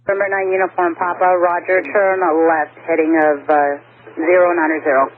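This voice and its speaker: A woman's voice: lowish voice, little pitch movement, normal speed, narrow, tinny audio. A woman working as an air traffic controller gives procedural instructions over aviation radio.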